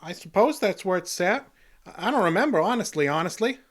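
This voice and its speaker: A punch-drunk, folksy janitor in an old-time radio comedy. His pitch swoops around, and he nervously repeats the tail ends of his phrases. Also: lively intonation, brisk. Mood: defensive, evasive. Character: punch-drunk male custodian, folksy and jittery.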